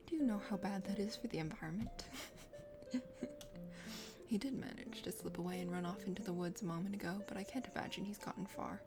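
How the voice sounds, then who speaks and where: A woman voicing a chatty, condescending dragon sister from a forest kingdom in a video game, speaking formally in a soft ASMR whisper. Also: medium pitch, voice pushed down, close to an everyday voice. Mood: smug, unworried. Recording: music behind the voice.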